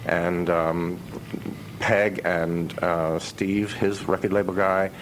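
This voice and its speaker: Male interviewee, musician, low register, anecdotal and halting, on a noisy radio aircheck. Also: level tone. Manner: halting, pausing, trailing restarts. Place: radio interview with noise behind the voice.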